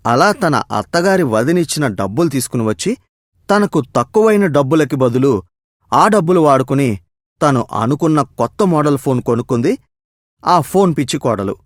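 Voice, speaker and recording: A man's voice: medium pitch, no room sound, clean studio sound. A man narrating a scripted moral story as its storyteller.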